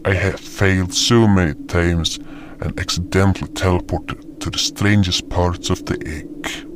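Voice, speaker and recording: A man playing a gentle, proud, hulking beast guardian who tells his own story deliberately in a fantasy audio drama. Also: low voice, steady tone. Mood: reflective, measured. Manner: slow, deliberate storytelling with hesitations. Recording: noticeable background noise.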